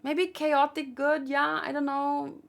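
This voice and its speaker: A woman's voice: sits high, flat delivery, takes it slowly, gravelly. A woman giving casual, informal commentary as a host, thinking aloud and often questioning herself. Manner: informal, rambling, self-questioning. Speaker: host of a manga read-along channel.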